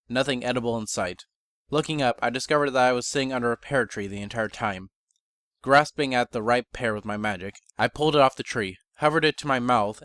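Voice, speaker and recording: A man's voice: mid-range voice, fairly bright tone, no echo. Man narrating a story, dry close sound. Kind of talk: scripted first-person story narration, dramatic reading.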